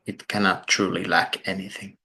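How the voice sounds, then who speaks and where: A man leading a guided meditation in a deliberate, measured way, leaving long pauses between short phrases. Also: low voice, flat delivery, gravelly, bright, forceful tone, breathy edge. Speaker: meditation teacher.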